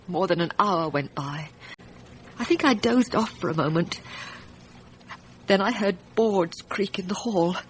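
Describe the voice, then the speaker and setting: A woman playing a frightened, distressed wife in a radio drama, recounting her story in a halting, hesitant way. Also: fairly low pitch, slight background noise, lightly acted, poor audio. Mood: haunted, fearful. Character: frightened, distressed wife testifying about a night shooting.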